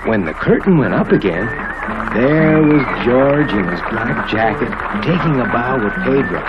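A man narrating a children's cartoon story in an expressive, scripted storytelling manner. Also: mid-range voice, unhurried, over a noisy crowd. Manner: expressive storytelling, acts out the characters' quotes. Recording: dull lo-fi old cartoon soundtrack, loud music.

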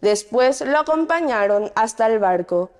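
Highish young woman reading in reverberant chapel. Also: lively intonation, unhurried, darkish tone. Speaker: lector. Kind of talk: scripture reading at Mass. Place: indoor chapel.

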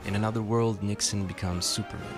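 Very deep-voiced man narrating over music. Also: fairly even intonation, fast talker. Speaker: video essayist narrator. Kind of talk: scripted video essay narration. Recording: music behind the voice.

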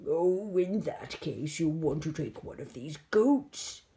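A big, huffy female monster from a storybook, as a woman reads her. She drags out her words with heavy emphasis, slow and loud, always ready to be insulted. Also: low voice, expressive. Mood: advising. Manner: drawn-out, emphatic. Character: huge angry, easily insulted female monster. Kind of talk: children's rhyming storybook character.

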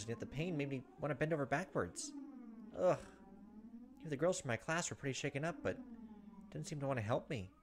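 A man's voice: on the high side, slight background noise. A man in his thirties hosting a let's play, reading game narration aloud with casual asides.

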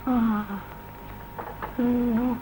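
An older actress playing a lonely Moscow French teacher who talks eagerly and chattily, with coquettish self-deprecation. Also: female voice, a little high, little pitch movement, very slow, dark tone, a little hiss or hum, rough recording. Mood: chatty. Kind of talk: stage play acting.

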